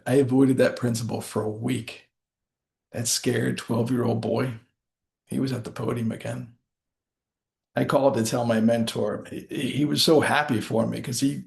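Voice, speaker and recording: A man giving a rehearsed motivational speech as a storyteller, speaking deliberately in short phrases with dramatic pauses. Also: mid-range voice, vocal fry, darkish tone.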